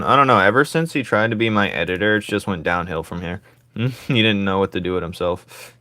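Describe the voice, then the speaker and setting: Raspy man, low register, casual live-drawing streamer who rambles to himself and his viewers. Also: sharp tone, dry recording, studio quality. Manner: rambling, thinking aloud, joking with viewers.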